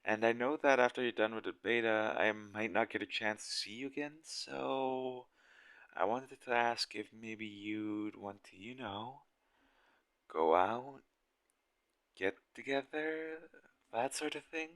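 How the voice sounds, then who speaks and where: A man's voice: mid-range voice, unhurried, fairly bright tone, clear, resonant tone, dry recording. A man playing a shy, smitten game developer on a date in a romantic audio roleplay, speaking nervously and hesitantly straight to the listener. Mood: earnest, nervous. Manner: awkward, nervous, hesitant and stammering.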